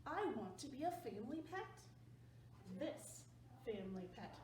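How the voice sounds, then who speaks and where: A woman voicing a lonely little spider who wants a family, read slowly and eagerly from a storybook. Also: fairly high pitch, big pitch swings, a crowd in the background. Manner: slow, eager storybook reading. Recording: roomy, distant mic, faint background hum.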